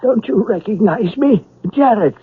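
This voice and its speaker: An actress in a radio drama playing an earnest household servant, urgent and deferential. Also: female voice, medium pitch, lively intonation, measured pace, husky, narrow, tinny audio. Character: earnest, insistent household servant who saw a ghost. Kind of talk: 1970s radio drama acting. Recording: old radio broadcast.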